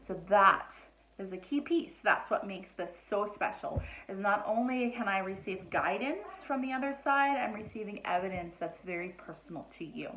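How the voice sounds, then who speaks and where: A woman from Nova Scotia, Canada, in her thirties, casually introducing herself to camera as a psychic medium, relaxed and full of ums and restarts. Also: medium pitch, moderate pace, roomy sound, lo-fi sound, a little hiss or hum. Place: home.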